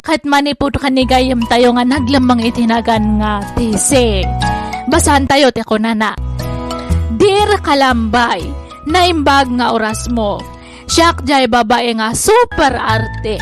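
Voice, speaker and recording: A woman's voice: fairly high pitch, lively intonation, slow, over music. A woman with an Ilocano Filipino accent, performing as host and player in a scripted radio drama. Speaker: drama channel host and narrator.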